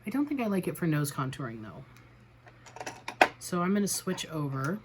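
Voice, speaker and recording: A woman vlogger chatting casually through a makeup tutorial, a little raspy from a cold, narrating each step with self-deprecating remarks. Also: sits low, very animated, moderate pace, noisy background. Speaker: beauty vlogger.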